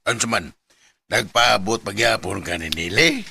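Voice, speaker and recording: A man's voice: lowish voice, animated, moderate pace, gravelly, bright, forceful tone, husky, heavily acted. A Filipino man with a Cebuano accent acting a teasing, pushy best buddy who banters and nags in a Bisaya radio drama.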